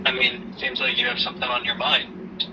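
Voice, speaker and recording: Father role male actor, audio drama: mid-pitched, unhurried and halting, concerned, protective, naturalistic, set back from the mic. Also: completely flat delivery, recorded from a distance, dull audio, noisy background, close to an everyday voice. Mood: gently probing. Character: caring, probing father, gently protective.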